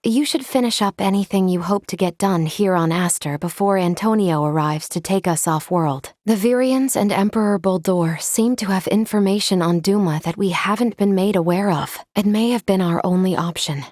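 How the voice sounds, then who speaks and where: An actress voicing a composed, polite female android in a video game, speaking in formal, measured, precise phrases. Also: medium pitch, darkish tone, dry recording, studio quality, natural voice. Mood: serious, measured. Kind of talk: scripted video game character lines.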